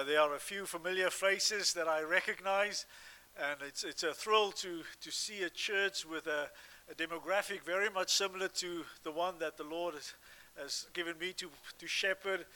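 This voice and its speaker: A man with a South African accent preaching a sermon as a guest pastor, earnest and halting, with frequent restarts and fillers. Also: fairly high pitch, sharp tone.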